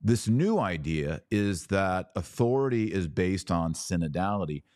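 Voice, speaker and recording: A man giving explanatory religious commentary as a podcast host, speaking in an emphatic, deliberate teaching manner and repeating phrases for effect. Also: deep voice, sing-song, darkish tone, no echo, clean studio sound.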